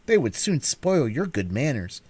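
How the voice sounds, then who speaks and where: A man's voice: high-pitched, wildly swooping pitch, brisk, pitched above the performer's own voice, heavily acted. A man voicing an earnest, prim little girl in a storybook reading, apt to scold. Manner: reproving, earnest.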